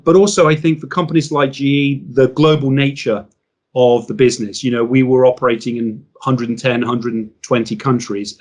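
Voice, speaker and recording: A man speaking as an interview guest, explaining business matters in a measured, structured way. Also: medium pitch.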